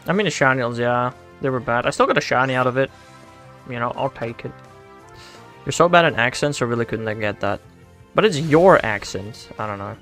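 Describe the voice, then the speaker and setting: A man's voice: mid-range voice, normal speed, fairly bright tone, soft background music. Laid-back Dutch-accented young man streamer. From Netherlands. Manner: laid-back and slangy, talks to himself. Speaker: game streamer. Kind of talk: casual livestream chat, answering chat while playing.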